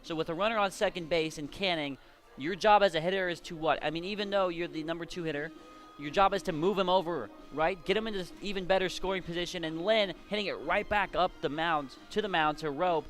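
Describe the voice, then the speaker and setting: An American man works as the color commentator on a baseball broadcast. His voice sits a little high, and he explains the game in a chatty, conversational way. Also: fairly quick, a bit bright, faint music behind. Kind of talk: live analysis, conversational sports commentary. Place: at a baseball stadium.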